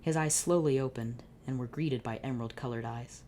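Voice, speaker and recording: A woman with a low, dark, mellow voice reads a story aloud in a dry comedic way. She breaks off into exasperated, sardonic remarks and keeps a deadpan narration pace. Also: brisk, slight background noise. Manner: exasperated, sardonic, swearing asides. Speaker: channel host reading fan fiction. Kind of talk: comedic dramatic reading with asides.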